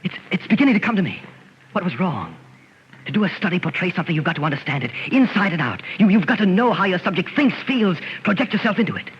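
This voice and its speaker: An actor playing an obsessed, volatile sculptor, brooding and halting, in the style of 1940s radio horror. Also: male voice, high voice, sing-song. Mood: fanatical. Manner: intense, brooding, halting and repetitive. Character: obsessed, volatile male sculptor fascinated by death. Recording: muffled, noisy old radio recording.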